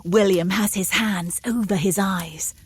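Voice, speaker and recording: A woman's voice: mid-range voice, fairly even intonation, a little slow, slightly rough, dry recording. Female narrator, dramatic scripted storytelling.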